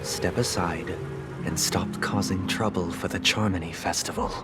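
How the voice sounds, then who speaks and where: A man voicing a solemn, composed young gentleman in a video game, formal and measured, with music behind him. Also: low voice, steady tone, lightly acted. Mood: stern.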